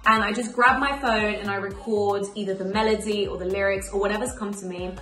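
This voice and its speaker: A woman's voice: medium pitch, steady tone, a bit bright, echoey room. A young woman who grew up in Spain, a singer talking to camera about her music in an upbeat, chatty way. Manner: upbeat, chatty, lists things quickly. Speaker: emerging singer interviewed. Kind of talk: casual to-camera answers. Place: small bright room indoors.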